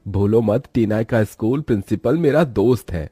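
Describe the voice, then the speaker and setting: A man's voice: on the low side, normal speed, mellow, breathy edge, no echo, faint music behind. A man voicing an indulgent, smug wealthy father in a cartoon moral story, breezy and dismissive.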